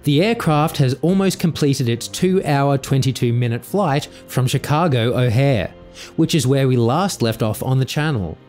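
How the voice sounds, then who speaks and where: A man's voice: medium pitch, normal speed, a little mellow, faint music behind. A man reading scripted explanatory narration for an aviation incident video.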